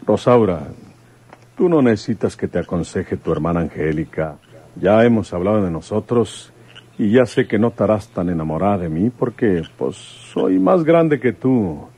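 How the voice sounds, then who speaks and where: A man playing an earnest, plain-spoken police commissioner in love, in a radio soap opera, with a husky voice. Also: on the low side, lively intonation, normal speed, warm tone. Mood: tender, earnest. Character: older rural police commissioner, earnest devoted suitor. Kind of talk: Spanish-language radio soap opera acting.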